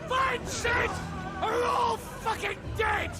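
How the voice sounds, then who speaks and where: An actor playing a brutal soldier in a video game, shouting orders and curses in a strained voice. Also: male voice, very high-pitched, steady tone, takes it slowly, over loud music, a loud crowd. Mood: furious. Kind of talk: video game cutscene acting.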